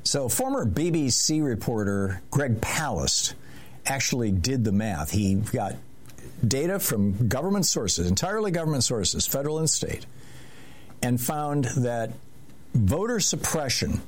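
Guy hosting a call-in radio show, easygoing, chatty, mid-pitched voice. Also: unhurried. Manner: relaxed, conversational, gently steering callers. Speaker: radio talk show host.

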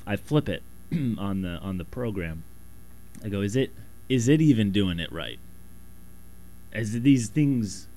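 A man with a slightly raspy voice talking casually in a loose, rambling, self-deprecating way. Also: sits low, darkish tone, a little hiss or hum, poor audio. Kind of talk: casual monologue.

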